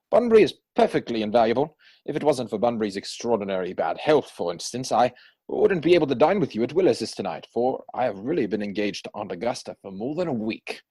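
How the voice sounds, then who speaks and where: A man acts out a witty, idle young gentleman of Victorian stage comedy. His delivery is arch and theatrical, swooping up and down in pitch with a husky edge. He teases and pries in elaborate, formal sentences. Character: witty, idle young English dandy, playfully prying bachelor. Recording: home recording over video call.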